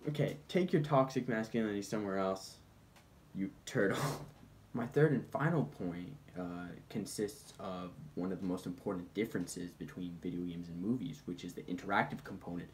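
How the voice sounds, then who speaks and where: Male commentator, low-ish voice, loose comedic video-essay delivery with bantering, defensive asides. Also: normal speed, darkish tone, slight background noise. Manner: informal, mock-defensive asides, conversational. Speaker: film commentator presenting points.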